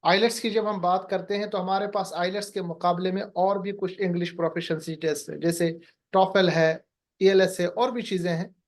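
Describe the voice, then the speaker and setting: A man with a high voice hosts an online webinar, polite and formal. He drops English words freely into his sentences. The sound comes through a video call. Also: fairly even intonation, moderate pace. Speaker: webinar host.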